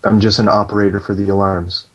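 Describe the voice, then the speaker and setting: A man playing a calm, bossy fire alarm operator on a prank phone call, deadpan, procedural and insistent. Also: fairly low pitch, fast talker, breathy edge. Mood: deadpan, official. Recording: dull lo-fi phone call recording.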